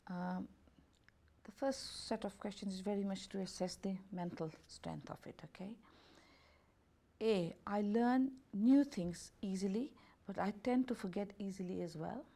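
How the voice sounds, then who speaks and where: A woman with a gravelly, mellow voice reads a questionnaire aloud. She takes each lettered option in turn at an unhurried pace. Her grammar is slightly off now and then, like a second-language speaker. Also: mid-range voice. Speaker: holistic health and beauty therapist. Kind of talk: reading out a questionnaire.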